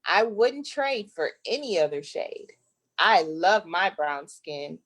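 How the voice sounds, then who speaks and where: A woman from Flint in the United States, an author reading her children's book aloud with deliberate, playful expression. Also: on the low side, sing-song, fairly bright tone.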